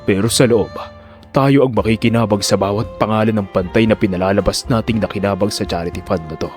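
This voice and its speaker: A man's voice: fairly low pitch, a little fast, a little mellow, natural voice. Man voicing a smug, scheming hospital director over music.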